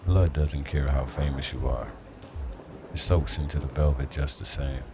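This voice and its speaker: A man playing a brooding, street-smart detective who narrates a noir audio drama in measured, terse, dramatic lines over music. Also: a bass voice, steady tone. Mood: grim, observant.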